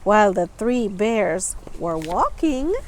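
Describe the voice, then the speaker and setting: A woman reading a children's picture book aloud, expressively and deliberately, stressing words for young listeners. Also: mid-range voice, very animated, slight background noise. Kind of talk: children's storybook read-aloud with asides about the pictures.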